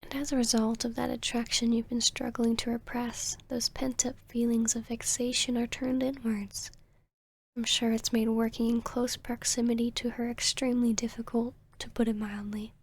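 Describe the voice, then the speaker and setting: Casting: woman playing a soothing vampire therapist for ASMR roleplay, gentle and measured, breathy with a creaky edge. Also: on the high side, level tone. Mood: probing, gentle. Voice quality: breathy, soft. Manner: gentle, measured, professional, often near-whispering. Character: female vampire posing as a calm, soothing therapist. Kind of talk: ASMR roleplay, soft-spoken to the listener.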